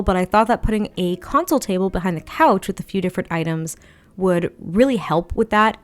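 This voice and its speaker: A woman giving a chatty voiceover for a home-building video, slightly hoarse and a little raspy, laughing often between rambling asides. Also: medium pitch. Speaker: Sims build video creator. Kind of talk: casual voiceover commentary.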